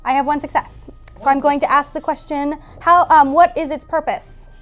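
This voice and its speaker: A woman with a fairly high voice talks casually as a player in a tabletop role-playing game. She thinks aloud in a deliberate, analytical way. Quiet music sits behind her. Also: fairly even intonation.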